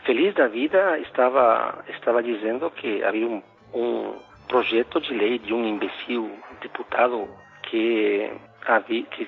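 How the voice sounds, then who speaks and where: A middle-aged man from Ecuador with a Spanish accent, a philosophy professor telling a story in a casual, halting way with many restarts and fillers. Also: mid-range voice, creaky. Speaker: philosophy professor, podcast guest. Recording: phone-like narrow sound.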